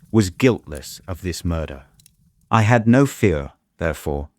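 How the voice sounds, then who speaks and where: An actor playing a tormented young gentleman who narrates a dramatized audiobook in an earnest, formal manner. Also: sits low, no echo. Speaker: narrator and lead character.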